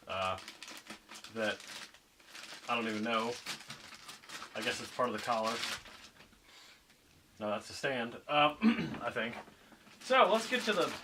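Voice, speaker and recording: Male reviewer, mid pitch, casual and hesitant unboxing talk, noticeable background noise. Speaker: YouTube product reviewer and host. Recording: clear background noise, clicks.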